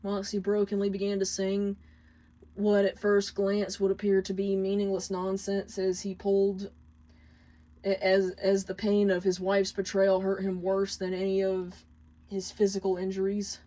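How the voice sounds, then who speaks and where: A woman narrates a piece of fan fiction in a dramatic storytelling style. Her pace is slow and deliberate, with a medium-pitched voice. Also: monotone, warm tone, poor audio.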